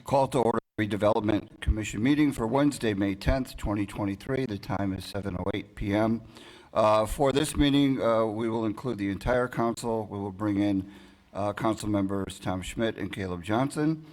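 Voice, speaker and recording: A man's voice: fairly low pitch, normal speed, darkish tone, poor audio. An American man speaking as a council member and commission chair, conducting formal meeting procedure. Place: indoor town council meeting.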